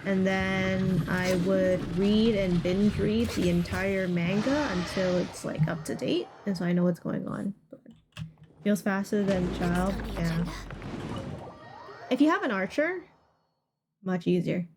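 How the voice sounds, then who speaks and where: A woman's voice: medium pitch, takes it slowly, background noise. A woman chatting casually and ramblingly to viewers while livestreaming a game. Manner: rambling, conversational. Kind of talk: casual gameplay chat with stream viewers. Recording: game music playing behind voice. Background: video game music.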